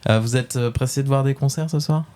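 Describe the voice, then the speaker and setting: A man's voice: medium pitch, fast, warm tone. A man with a French accent chats through a casual webradio interview, stopping often to hunt for words. His voice sits in an ordinary middle register. He keeps his questions friendly and easygoing. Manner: hesitant, many fillers, friendly questions.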